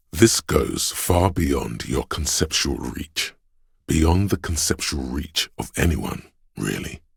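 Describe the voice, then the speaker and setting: Game narrator, a wry, arty inner voice: extremely low and heavily husky, droll, pompous, measured. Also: male voice, no echo, studio quality, full character voice. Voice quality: very deep, gravelly, husky. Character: male inner voice of conceptual, artistic thought, wry and pretentious.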